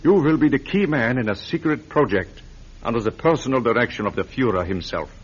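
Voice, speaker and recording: An actor playing a pompous, commanding major who speaks English in a vintage radio drama. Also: male voice, mid-range voice, expressive, a little fast, slightly rough, dull audio. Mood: grandiose. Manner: grand, ceremonious, commanding. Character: pompous high-ranking Nazi major. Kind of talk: 1940s radio acting.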